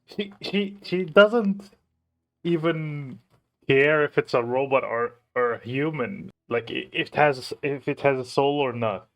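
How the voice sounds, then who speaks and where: A man's voice: medium pitch, measured pace, muffled recording. A man hosting a reaction video, giving casual off-the-cuff commentary and thinking aloud hesitantly.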